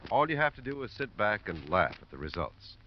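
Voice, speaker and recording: A man's voice: fairly low pitch, big pitch swings, brisk. An American man doing scripted announcing on a 1950s radio broadcast. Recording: muffled old radio recording, faint hiss.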